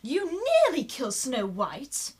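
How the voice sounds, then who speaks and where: A woman's voice: on the high side, big pitch swings, a little mellow, husky, heavily acted. A woman playing an imperious, theatrical evil queen from a fairytale pantomime. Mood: menacing. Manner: slow, commanding, theatrical. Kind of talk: amateur pantomime villain acting.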